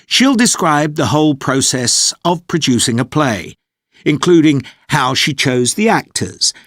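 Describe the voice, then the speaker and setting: Tour organiser giving a polite scripted briefing, measured, very up and down intonation, dry sound. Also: male voice, a little high, lively intonation, a bit bright, dry recording. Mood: polite, informative. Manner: measured, clear, politely explanatory. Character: organised, courteous male tour leader briefing a theatre group. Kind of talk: scripted informational monologue for an English listening test.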